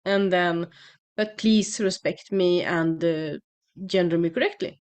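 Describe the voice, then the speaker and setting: A woman from Sweden with a Swedish accent, talking casually to her channel's viewers and often pausing with fillers and restarts. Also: fairly low pitch, a little mellow. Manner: hesitant, many fillers and restarts. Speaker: channel host. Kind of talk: casual monologue to camera.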